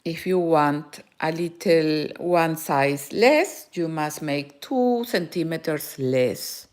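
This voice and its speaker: Woman, Peruvian Spanish accent, native Spanish speaker, crochet teacher, live tutorial, slow unhurried pace, halting speech with word-searching pauses. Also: deep voice, lively intonation, darkish tone. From Peru. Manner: halting, searching for words, frequent "eh" fillers.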